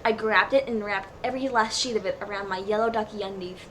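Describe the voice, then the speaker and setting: A woman's voice: medium pitch, fast, fairly bright tone. A woman narrating a comedic dramatic reading of fan fiction in a mock-serious storybook manner. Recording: clearly noisy home recording.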